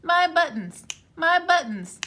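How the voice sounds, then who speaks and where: A woman's voice: extremely high pitch, very animated, takes it slowly, sharp tone, slight background noise, exaggerated voice. A woman singing the part of a cheerful, cool male cat from a children's storybook in a sing-song chant.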